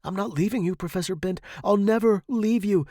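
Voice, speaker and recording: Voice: takes it slowly, a little mellow, no room sound, studio quality. A storyteller voicing a devoted young male music student, earnest, emotional and imploring. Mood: tender, worried. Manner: earnest, imploring, high and distraught.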